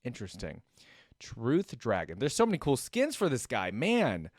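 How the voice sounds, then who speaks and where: A man's voice: medium pitch, huge pitch swings, moderate pace, slightly rough, dry recording. Casual man chatting in a dry, close sound. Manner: informal, slangy, chatty. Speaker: reaction video host. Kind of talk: casual reaction commentary, thinking aloud and addressing viewers.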